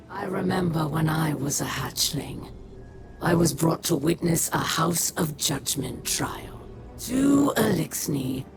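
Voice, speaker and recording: An actress voicing a proud, bitter imprisoned alien warrior in a video game, speaking in measured, formal phrases that often sink toward a whisper. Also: female voice, low voice. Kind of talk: dramatic video game dialogue. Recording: loud ambient noise behind.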